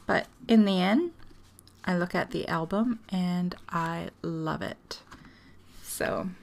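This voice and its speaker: Slow, gravelly woman teaching a craft. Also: fairly low pitch, slight background noise. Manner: chatty, self-deprecating, laughs often. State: hoarse, losing her voice. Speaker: craft tutorial host. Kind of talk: casual craft tutorial narration.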